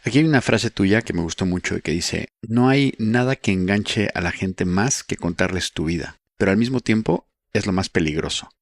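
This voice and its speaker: Low-voiced male podcast host. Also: normal speed, few pauses, no echo, clean studio sound. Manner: brief affirmations, reads quotes to prompt the guest. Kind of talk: podcast interview questions.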